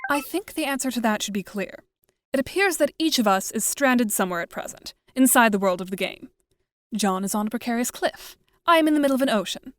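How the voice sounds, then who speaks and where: A woman's voice: on the high side, animated, close to an everyday voice. A woman voicing a composed, wry, articulate teenage girl in an audio drama, with formal, dry delivery. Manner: formal, dry, measured wording. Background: music.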